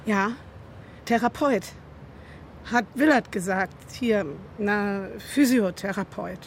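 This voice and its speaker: A woman's voice: mid-range voice, slow, slight background noise. An actress playing a brash, agitated young woman in a naturalistic radio drama, speaking in blunt, clipped slang.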